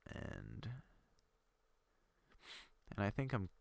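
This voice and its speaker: A young man with a cold and a stuffy nose talks through his building in a deep, gravelly but smooth voice. He rambles, trails off and corrects himself as he thinks aloud. The sound is dry and close. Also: wildly swooping pitch, extremely slow, a little mellow, dry recording. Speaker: gaming video creator. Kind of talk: casual gameplay commentary.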